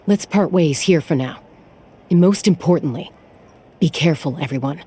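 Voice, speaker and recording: A man's voice: fairly high pitch, normal speed, mellow, breathy edge. A man playing an earnest, responsible young adventurer in a video game.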